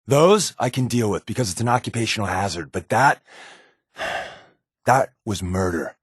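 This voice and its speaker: An actor playing a wry, guarded undercover resistance agent in a video game, conversational and confiding, with a slightly husky voice. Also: male voice, lowish voice, lively intonation, a little slow, no room sound, clean studio sound. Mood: stern, disapproving. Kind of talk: naturalistic video game companion dialogue.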